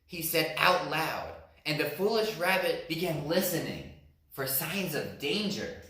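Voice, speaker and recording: A man, a teacher, reading a picture book aloud with slow, expressive narration. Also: on the high side. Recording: echoey room.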